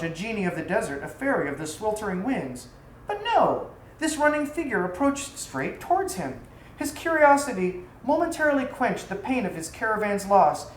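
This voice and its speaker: Echoey recording of a woman reading a story. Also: mid-range voice. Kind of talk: dramatic literary story narration. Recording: echoey room, faint background noise.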